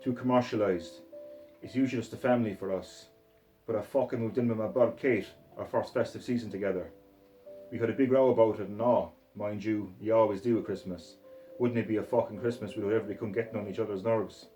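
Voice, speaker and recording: A man's voice: on the low side, steady tone, quick pace, warm tone, soft background music, lightly acted, low-quality recording. A man doing a sweary Scottish hard man. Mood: ranting. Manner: swearing, boastful, ranting. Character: foul-mouthed violent Scottish hard man, adult. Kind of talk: reading a short story aloud in character. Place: sitting room floor.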